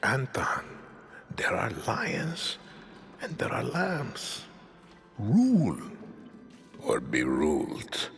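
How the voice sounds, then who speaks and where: An actor playing a ruthless middle-aged Latin American dictator with a Spanish accent, speaking in a measured, theatrical way that can drop to a whisper. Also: male voice, mid-range voice, huge pitch swings, creaky, a bit bright, long reverb tail, soft background music, heavily acted. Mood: brooding reverence. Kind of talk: dramatic video game acting.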